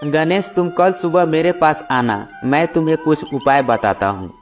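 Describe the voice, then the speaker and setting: A raised, eager male voice comes over light music, a man acting it out. It is a friendly cartoon villager. He talks with familiar, helpful warmth in a moral tale. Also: on the high side, unhurried, voice pushed up, natural voice. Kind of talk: acted children's moral story.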